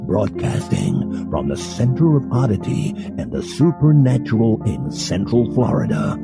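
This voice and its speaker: A man's voice: wildly swooping pitch, measured pace. A man doing a dramatic, scripted intro voice-over as the show announcer. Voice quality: deep gravelly. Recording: music bed under voice.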